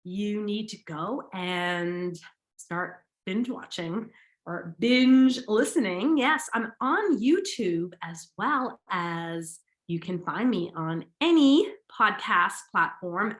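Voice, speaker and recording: A woman's voice: medium pitch, a bit bright, reverberant. A woman podcast host, talking casually and enthusiastically, with a slight sniffle and a little nasal. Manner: enthusiastic, upbeat, repeats words for emphasis. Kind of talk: casual solo podcast talk.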